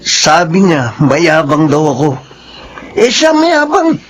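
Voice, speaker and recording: A man's voice: on the high side, huge pitch swings, slow pace, heavily acted. An actor playing a vain, boastful young rich man with a whiny streak in a melodrama. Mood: bitter, resentful. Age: young adult. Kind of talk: radio-style Filipino melodrama acting.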